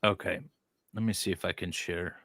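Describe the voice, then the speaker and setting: Sarcastic man chatting, bright, dry sound. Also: deep voice, fairly even intonation, normal speed, creaky, no room sound. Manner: sarcastic, mocking asides. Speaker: host. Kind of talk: casual chat with read-out web page and commentary.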